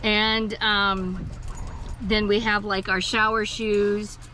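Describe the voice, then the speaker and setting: A woman's voice: mid-range voice, slow pace, slight background noise. An older woman chatting casually as she shows off the inside of her small camper trailer, filmed up close. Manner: chatty, relaxed, gesturing as she shows things. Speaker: vlog host.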